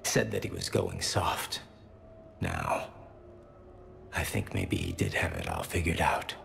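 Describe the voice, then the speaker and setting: Soft backing music, grieving young alien son, game cutscene, rough creaky bass, courteous, solemn, halting. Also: male voice, huge pitch swings, heavily acted. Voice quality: gravelly, very low voice. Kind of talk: video game cutscene acting.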